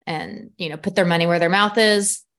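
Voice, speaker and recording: A woman's voice: lowish voice, brisk, fairly bright tone. A woman hosting a casual conversation over a call, speaking candidly and bluntly, laughing easily. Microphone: remote call.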